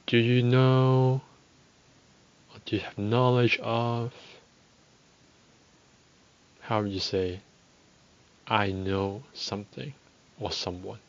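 A man teaching a podcast language lesson, speaking slowly and deliberately and repeating phrases for learners. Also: fairly low pitch, fairly even intonation, highs cut off.

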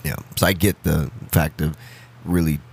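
A man's voice: extremely low pitch, fairly even intonation, normal speed, vocal fry, slight background noise. A man co-hosting a podcast, chatting loosely about music and swearing freely. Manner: laid-back, swears a lot, sings snatches of songs. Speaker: podcast co-host. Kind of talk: casual podcast chat.

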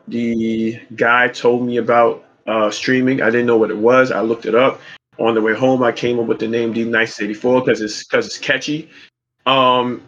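Bright-voiced New York man, slangy and hyped, dull lo-fi recording. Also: medium pitch. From Brooklyn, New York. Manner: hyped, slangy, many fillers. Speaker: podcast co-host. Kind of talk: casual podcast chat. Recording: dull lo-fi mic.

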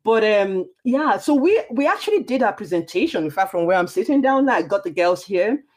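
Casting: Nigerian-accented woman, podcast guest, highly varied intonation, animated conversational delivery. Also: brisk. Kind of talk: conversational interview.

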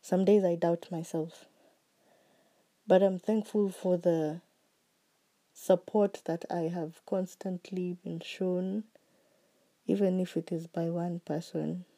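A woman hosting her own podcast, talking slowly and earnestly about what she is grateful for, her voice still recovering from being sick. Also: on the low side, fairly even intonation. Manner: slow, heartfelt and earnest, with soft trailing phrases. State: recovering voice after illness. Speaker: podcast host. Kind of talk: reflective solo podcast monologue.

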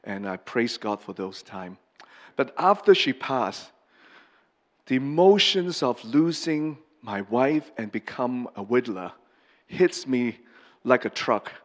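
A middle-aged pastor, a man from Hong Kong, giving a personal testimony sermon in an earnest, halting way with many pauses and restarts. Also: mid-range voice, expressive. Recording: long reverb of a large hall.

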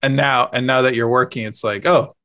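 A man co-hosting a casual podcast chat in an animated, jokey way, acting out the exchanges he recounts. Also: mid-range voice, brisk, dry recording. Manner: jokey, acts out quoted exchanges. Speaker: podcast co-host.

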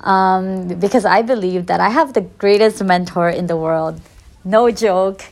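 Woman, twenties, from the Philippines, podcast host, earnest conversational delivery, reflective personal monologue, medium pitch. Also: a little slow, vocal fry, dry recording. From the Philippines, later the United States.

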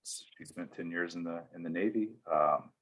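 A man, speaking plainly as an interview guest, with frequent pauses and fillers. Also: low-pitched, fairly bright tone. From East Tennessee, US. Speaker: interview guest, church security veteran. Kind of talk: casual storytelling interview answers.

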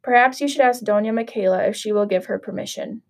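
A woman's voice: medium pitch, quick pace, gravelly, close to an everyday voice. A woman reading aloud as a shy, modest young orphan woman. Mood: humble.

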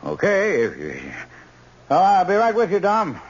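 Husky, decisive radio-drama surgeon. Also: male voice, high-pitched, huge pitch swings, a little slow, full character voice. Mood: businesslike. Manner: commanding, clipped, pensive. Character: brisk, decisive male hospital surgeon. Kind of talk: 1970s radio drama acting. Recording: muffled old radio broadcast recording.

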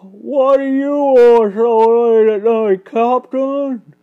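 A woman's voice: fairly high pitch, steady tone, slow, narrow, tinny audio, pitched above the performer's own voice. A woman voicing a frightened, humble male mouse carpenter in a storybook, pleading in folksy working-class speech. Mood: alarmed, wary.

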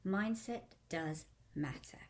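A woman hosting a radio talk show as a life coach, upbeat and chatty, her voice still croaky. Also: on the low side, takes it slowly.

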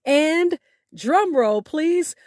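A woman hosting a podcast, speaking in a deliberate, expressive way with drawn-out emphasis, as if reading a prepared sign-off. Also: high-pitched, a little hiss or hum. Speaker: podcast host. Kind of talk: scripted podcast sign-off with a recited poem.

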